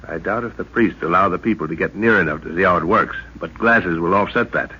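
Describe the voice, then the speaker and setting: Scholarly professor, low husky voice, measured and hesitant, muffled old radio sound. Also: male voice, bright, forceful tone, full character voice. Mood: calm, resolute. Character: scholarly middle-aged male professor, calm leader. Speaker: professor leading an expedition. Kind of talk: 1930s radio drama acting. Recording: dull lo-fi old radio recording.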